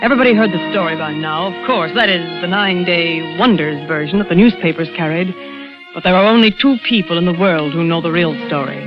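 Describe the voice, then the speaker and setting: A woman's voice: fairly low pitch, a little fast, lightly acted. An actress narrating as a female stand-in in a wry, confiding manner, in 1940s radio drama style. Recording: lo-fi old radio broadcast with music.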